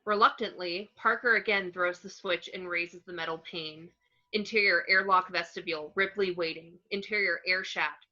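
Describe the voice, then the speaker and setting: Woman, narrator, screenplay action lines, bright sharp tone, lower pitch, dull lo-fi recording. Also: fairly even intonation, normal speed. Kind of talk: reading screenplay action descriptions, scripted narration.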